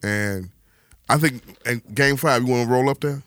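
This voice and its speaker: A man hosting a podcast, halting and repeating words in casual chat. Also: mid-range voice, a bit bright, no echo, studio quality. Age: 40s. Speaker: podcast host.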